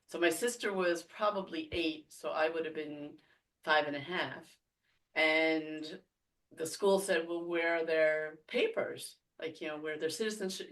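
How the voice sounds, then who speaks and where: A woman's voice: on the low side, a bit bright, recorded from a distance, low-quality recording. A woman from France, a podcast guest, telling a story casually with many fillers and frequent laughter.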